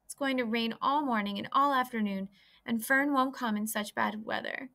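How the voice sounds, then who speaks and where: A plaintive young boy pig from a storybook, lonely and earnest, pleading in a raised, whiny voice. A woman acts him out, her tone bright and clear. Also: fairly high pitch, normal speed, voice pushed up. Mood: sorrowful.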